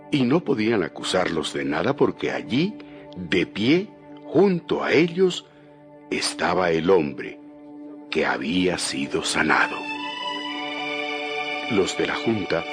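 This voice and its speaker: A man narrating a dramatized Bible from a script in a solemn, deliberate storytelling manner. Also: low voice. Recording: music bed behind the voice.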